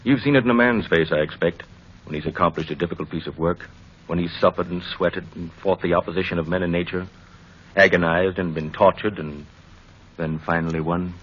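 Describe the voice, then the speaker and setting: An actor playing an older, fatherly, opinionated family doctor in an old time radio drama, oratorical, with dramatic pauses. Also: sits low, fairly even intonation, husky. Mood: emphatic, lecturing. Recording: muffled old radio recording, faint noise.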